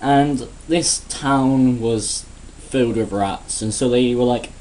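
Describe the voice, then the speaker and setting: A man's voice: medium pitch, takes it slowly, darkish tone, low-quality recording. A man giving a sarcastic, crude, rambling comedic rant in a casual vlog. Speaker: vlogger.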